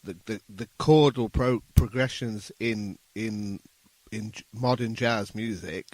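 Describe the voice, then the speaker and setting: A man speaking as a musician guest in a casual interview, explaining his thoughts in a halting, thoughtful way. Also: lowish voice, a little mellow, dry recording.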